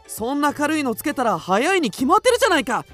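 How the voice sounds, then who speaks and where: An actor playing an excitable, mischievous ten-year-old schoolboy, a bossy big brother, in a children's radio drama. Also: male voice, very high voice, fast talker, soft background music, full character voice. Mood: indignant.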